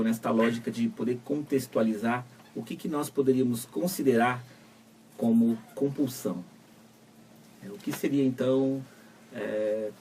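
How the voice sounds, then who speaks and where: A Brazilian man co-hosting a live web radio talk show, asking framing questions in a measured, teasing way. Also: medium pitch, a little mellow, far from the mic, a little hiss or hum. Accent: Brazilian Portuguese. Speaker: co-host psychologist.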